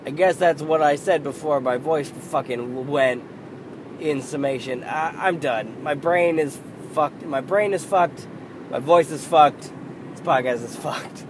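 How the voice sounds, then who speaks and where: Slow, croaky-voiced man with traffic noise. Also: on the high side. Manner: rambling, halting, swears under his breath. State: losing his voice, hoarse and croaky. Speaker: podcast host. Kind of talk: casual solo podcast monologue.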